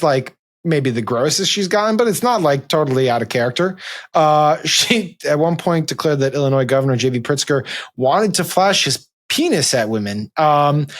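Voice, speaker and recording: A man hosting a casual political chat in an amused, incredulous and sardonic manner. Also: fairly high pitch. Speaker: host.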